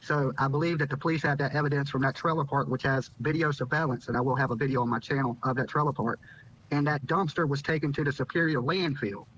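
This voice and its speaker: A man's voice: medium pitch, steady tone, fast talker, fairly bright tone, slight background noise. A man from Virginia giving an informal live interview account as a guest, repeating phrases as he goes. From Virginia, United States. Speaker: YouTuber and news guest. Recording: dull, lo-fi remote link.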